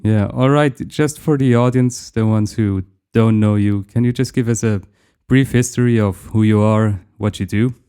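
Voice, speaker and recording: A man's voice: fairly low pitch, fairly even intonation, normal speed, hardly any pauses, warm tone, clear, resonant tone. A man hosting a podcast, asking short questions and repeating words in a casual interview. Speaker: podcast host.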